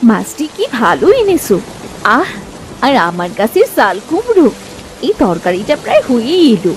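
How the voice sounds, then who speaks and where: A woman voicing a hospitable, caring village housewife with an animated manner in a cartoon folk tale. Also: on the high side, normal speed, slight background noise, low-quality recording. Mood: friendly, attentive.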